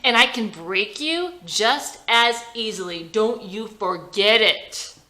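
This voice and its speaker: A woman playing a defiant, hot-tempered young female rock musician in a script reading, forceful and confrontational. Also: on the high side, lively intonation, slow, pitched above the performer's own voice, heavily acted. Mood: cold threat. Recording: roomy echo.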